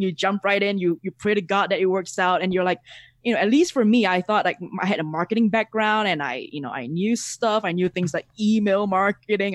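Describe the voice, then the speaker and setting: A man in his thirties from Singapore with a Singaporean accent, chatting casually as a podcast guest over a video call, animated and quick to laugh. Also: sits high, no room sound, clean studio sound. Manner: animated, laughs often, repeats words. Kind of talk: casual interview chat.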